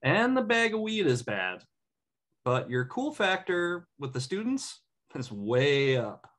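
A man's voice: a little high, big pitch swings, takes it slowly, fairly bright tone, exaggerated voice. A man playing an insightful, cocky, supportive best friend in a sitcom table read. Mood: reassuring. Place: home room on a video call.

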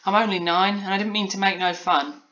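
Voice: mid-range voice, lively intonation, moderate pace, bright, forceful tone, dull audio, voice pushed up. A storybook reader voicing an earnest, wistful nine-year-old girl who exclaims and is easily amazed. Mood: wonder.

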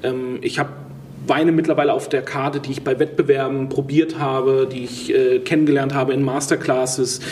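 A man, a sommelier, chatting in an interview in a relaxed way, pausing often with fillers as he thinks aloud. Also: mid-range voice, slight background noise. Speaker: sommelier guest. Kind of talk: casual interview talk. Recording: distant mic with faint ambience.

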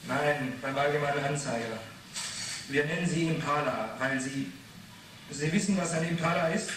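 A man's voice: on the high side, moderate pace, close to an everyday voice. An actor in a 1980s radio play playing a defensive, irritable man under questioning, evasive and explanatory. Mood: guarded. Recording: very echoey, noisy, distant radio drama.

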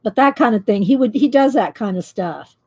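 A woman, chatting loudly while she paints and tells stories on her coloring livestream, laughing often and answering viewers. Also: fairly high pitch, expressive, brisk. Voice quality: loud voice. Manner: chatty storyteller, laughs often, reads live chat. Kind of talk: casual chat while painting. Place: home craft table, indoors.

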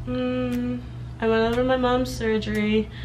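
A young woman chatting casually in a vlog update, a bit hoarse from laryngitis and rambling while she does her hair in her bedroom. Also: a little high, little pitch movement, a little slow, a little mellow, soft background music, poor audio. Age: young adult. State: slightly hoarse from recent laryngitis. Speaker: vlogger. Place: bedroom with clothes rack, indoors.

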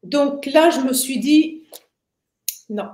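A woman of sixty-six gives a personal account in conversation, often halting to find her words. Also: high voice, darkish tone. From Paris, France. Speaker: interview guest, retiree. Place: indoors, plain room on video call. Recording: roomy, echoing video call.

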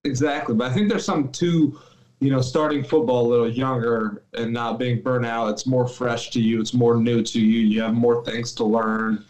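A young man from Greece, a guest on a podcast, talking in a relaxed way with lots of fillers over a video call. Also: medium pitch, moderate pace, roomy sound, rough recording. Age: young adult. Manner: relaxed, many fillers and restarts. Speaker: guest athlete. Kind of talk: casual interview chat. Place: small room at home.

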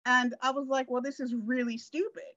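A woman in her forties talks in a bright, forceful, fairly high voice. She tells personal stories casually, and the call audio is a little dull. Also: level tone, brisk, no room sound. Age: 40s. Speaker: guest sharing her success story. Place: indoor room, video call.

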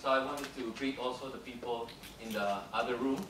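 A man's voice: mid-range voice, fairly even intonation, brisk, background noise. Man lecturing from a distant echoey room. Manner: hesitant, many fillers, switching between English and Cantonese. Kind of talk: academic lecture.

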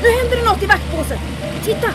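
An actress playing an excitable, fearless lookout in an adventure audio drama, exclaiming shrilly. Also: female voice, very high voice, a loud crowd. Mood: excited.

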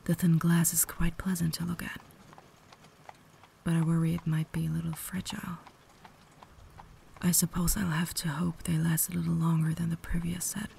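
A woman's voice: lowish voice, little pitch movement, normal speed, gravelly, long pauses, slight background noise. A woman playing a cultured, caring vampire lady in a refined, whispered ASMR roleplay, holding herself back. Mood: refined, pleasant. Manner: refined, formal, soft-spoken whisper.

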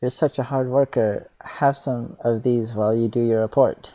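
A man's voice: medium pitch, fairly even intonation, normal speed, warm tone, highs cut off, lightly acted. A man voicing a kindly, doting mother while reading a visual novel aloud.

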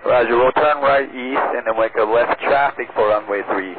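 A man's voice: medium pitch, normal speed. A man piloting a small plane, giving clipped readbacks over aviation radio. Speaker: aircraft pilot. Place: small aircraft cockpit. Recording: tinny narrowband cockpit radio with static.

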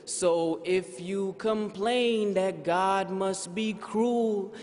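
A man in his thirties performing rhythmic, rhyming spoken word poetry at a podium on a large conference stage, moving between soft lines and shouts. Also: sits high, very slow, soft background music. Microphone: podium microphone. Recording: hall reverb.

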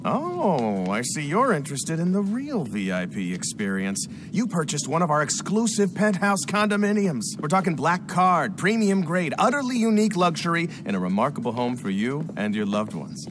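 A fussy, swooping casino host. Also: male voice, on the high side, sing-song, moderate pace, hardly any pauses, noisy background. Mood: showy, eager. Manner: obsequious, flustered, formal. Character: fussy, eager-to-please male casino host. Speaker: casino guest services director. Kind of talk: video game cutscene acting. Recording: music bed behind the voice.

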